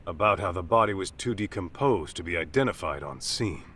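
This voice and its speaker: A man's voice: low voice, expressive, fairly quick, fairly bright tone, slight background noise. An actor playing a blunt, street-smart male detective who questions people casually and skeptically in a video game drama. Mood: wry, probing. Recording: music behind the voice.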